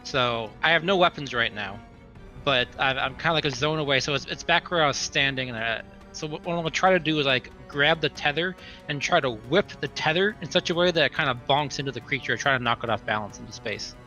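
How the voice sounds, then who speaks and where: A man playing a tabletop roleplaying game, talking casually, thinking aloud in halting bursts and asking questions. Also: mid-range voice, animated, sharp tone, lo-fi sound. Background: music.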